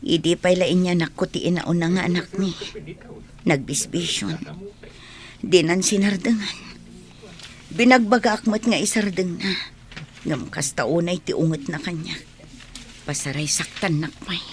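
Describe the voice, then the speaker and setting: A woman's voice: on the low side, normal speed, long pauses, a little hiss or hum, natural voice. A woman with an Ilocano accent playing a weary, long-suffering married neighbour, confiding in a radio drama style. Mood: sad, weary. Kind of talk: Filipino radio drama acting.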